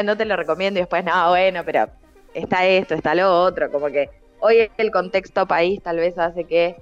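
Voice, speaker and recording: Smooth-voiced Argentine woman columnist. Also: lowish voice. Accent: Argentine Spanish. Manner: chatty, conversational. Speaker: trends columnist. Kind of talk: radio segment chat. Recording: dull dry studio sound.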